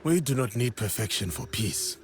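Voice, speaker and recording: An actor playing a calm, principled warrior in a game drama, speaking in a measured, formal and deliberate way. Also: male voice, mid-range voice, a little hiss or hum, lightly acted. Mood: reflective, calm. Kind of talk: dramatic game cutscene acting.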